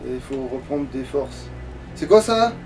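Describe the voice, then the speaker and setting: A man's voice: medium pitch, lively intonation, unhurried. A man commenting casually on his own gameplay in slangy, joking, crude language. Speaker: gamer, Let's Play player. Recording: distant mic with music. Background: game music.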